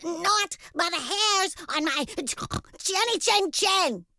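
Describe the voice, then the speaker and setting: Young storybook piggy boy, woman doing it, high voice, jumpy and yelpy. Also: slow, no room sound, studio quality, exaggerated voice. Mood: alarmed. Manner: exclaiming, yelling in alarm. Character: young boy pig, excitable, easily alarmed.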